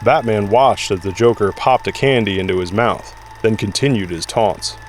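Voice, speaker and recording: A man's voice: fairly low pitch, moderate pace, slight background noise. A man narrating an audio drama in a dramatic, scripted storytelling style. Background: dramatic music.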